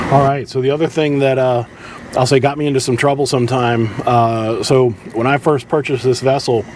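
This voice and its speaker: A man giving instructions in a casual, halting, conversational way, as a boat captain presenting tips. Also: medium pitch, noisy background. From southeastern United States.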